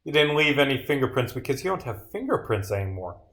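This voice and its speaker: A man playing a stern detective in a visual novel, deliberate and emphatic as he accuses. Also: medium pitch, sing-song, fairly bright tone. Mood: accusing.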